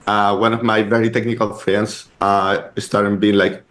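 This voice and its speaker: A man's voice: lowish voice, level tone, normal speed, fairly bright tone. A man with a Chilean accent, a guest on a podcast interview, telling his story in a rambling way full of "like" and "uh". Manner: rambling, heavy use of "like" and "uh". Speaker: guest, startup co-founder.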